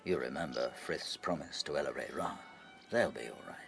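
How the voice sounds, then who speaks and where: A man playing a calm, gentle otherworldly rabbit lord in a radio drama, speaking in a soothing, reassuring, gravelly voice. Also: normal speed, exaggerated voice. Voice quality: deep, gravelly. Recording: music behind the voice.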